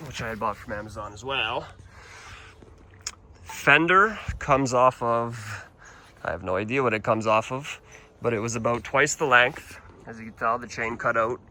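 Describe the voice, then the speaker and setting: A man's voice: mid-range voice, sharp tone, a little hiss or hum. A young man from Canada with a Canadian accent, rambling and swearing casually as he walks around his motorcycle for a vlog, talking into a handheld phone. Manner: casual and profane, rambling with restarts. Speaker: motorcycle vlogger.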